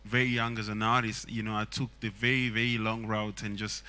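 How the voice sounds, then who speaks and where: Songwriter from Congo, a man, non-native English, earnest and reflective, bright forward tone. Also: fairly low pitch, flat delivery, brisk, clear, resonant tone. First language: Swahili. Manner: earnest, reflective, many fillers. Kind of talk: casual panel talk.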